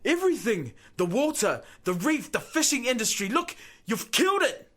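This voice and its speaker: A man's voice: very high-pitched, very animated, normal speed, exaggerated voice. A man playing a time-travelling fisherman who tells his story in the first person, theatrical and anguished, in an audio drama. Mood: anguished, furious. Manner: theatrical, swings from breathy murmur to anguished shouting.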